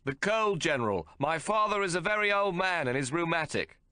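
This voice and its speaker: A man's voice: a little high, lively intonation, a little fast, sharp tone, no echo, pitched above the performer's own voice. A placid, awkward middle-aged son, apologizing and pleading in a raised, strained voice, as a male narrator puts him on. The storybook voice sounds dry and close. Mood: apologetic.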